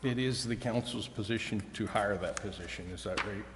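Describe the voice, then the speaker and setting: A man with a fairly low voice speaks as a council member. His phrasing is halting and deliberate. The chamber rings with long reverb and carries faint noise. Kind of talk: questioning in a public meeting.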